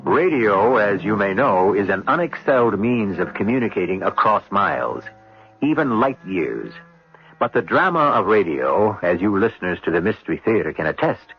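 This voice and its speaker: A man hosting a radio mystery series, with a formal, theatrical delivery of scripted narration. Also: fairly low pitch. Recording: dull old radio recording, faint music.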